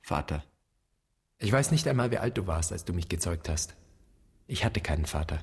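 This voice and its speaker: A man acting an anguished son haunted by his father's guilt, speaking in deliberate, poetic, questioning fragments in a radio drama. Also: low voice, expressive, husky, heavily acted. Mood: grave, anguished. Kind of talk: stylized German radio drama monologue.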